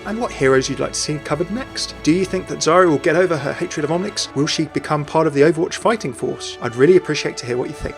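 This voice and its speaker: A man hosting a video game lore video in scripted narration with chatty asides. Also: on the high side. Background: faint music.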